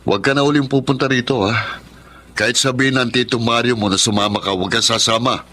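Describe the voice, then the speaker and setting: A man's voice: mid-range voice, fairly even intonation, fast talker, pushed and bright. A man playing a hot-tempered, protective older brother and uncle in a radio drama, blunt and scolding, with a Filipino accent. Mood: stern, protective. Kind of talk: Tagalog radio drama acting.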